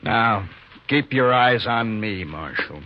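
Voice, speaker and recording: Menacing American outlaw boss, lowish voice, commanding, old radio drama, dull sound. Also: male voice, expressive, takes it slowly. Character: bossy, cold male western outlaw leader. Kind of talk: 1950s radio western acting. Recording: dull, faintly noisy 1950s radio recording.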